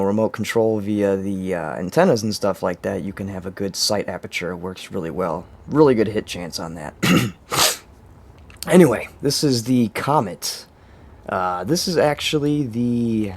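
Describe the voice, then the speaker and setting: A young man with a hoarse, strained voice casually walking through his game builds, rambling with fillers and chuckles. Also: fairly low pitch. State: hoarse, lost voice. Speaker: game channel host. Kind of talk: casual gameplay walkthrough.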